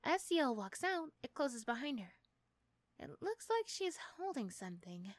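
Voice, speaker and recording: A woman's voice: high voice, big pitch swings, a little slow, dry recording. A woman narrating a scripted second-person story for an ASMR roleplay.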